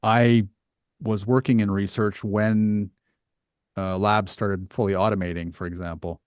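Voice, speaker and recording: A middle-aged man hosting a conversational podcast interview, thinking aloud in a halting way with restarts. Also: on the low side, little pitch movement, smooth, no room sound, clean studio sound. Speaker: podcast host.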